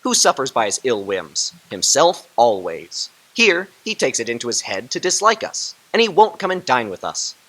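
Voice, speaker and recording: A jovial, good-humoured young nephew holds court at a party, as an actor plays him in a radio drama. His voice swings lively up and down with a bright ring. He speaks warmly and laughs easily. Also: mid-range voice, moderate pace, hardly any pauses, full character voice, rough recording. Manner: hearty, laughing, warm-hearted. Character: jolly young man Fred, Scrooge's nephew.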